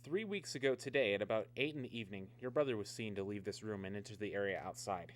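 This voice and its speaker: Man playing a fast-talking guarded military major. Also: lowish voice, close to an everyday voice. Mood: guarded, matter-of-fact. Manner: brisk, official, evasive. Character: guarded, methodical military security major questioning a visitor. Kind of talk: radio drama acting. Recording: clear background noise with hum.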